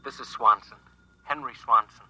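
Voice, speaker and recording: An actor in a classic radio drama playing a furtive, urgent caller with a secret warning. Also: male voice, mid-range voice, steady tone, normal speed, bright, forceful tone, breathy edge, no room sound, muffled recording. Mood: hushed, urgent.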